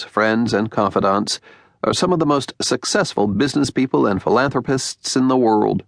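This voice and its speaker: A man with an American accent narrating an audiobook in measured, deliberate phrases with emphatic pauses. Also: mid-range voice, gravelly, no room sound, clean studio sound.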